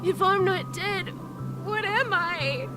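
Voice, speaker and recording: A woman's voice: very high-pitched, takes it slowly. A woman playing a panicked, whimpering, frightened dreamer in a video game. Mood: tearful, frightened. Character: panicked, whimpering dreamer convinced of being dead. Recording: loud game music over the voice.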